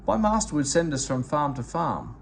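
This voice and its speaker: A man voicing a sad, proud old traction engine, speaking in a wistful, gentle way, for a read-aloud storybook. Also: on the high side, very animated, moderate pace, slight background noise.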